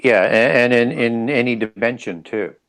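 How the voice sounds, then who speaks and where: A man speaking as an interview guest, explaining ideas in a halting, pieced-together way. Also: medium pitch, fairly bright tone, smooth.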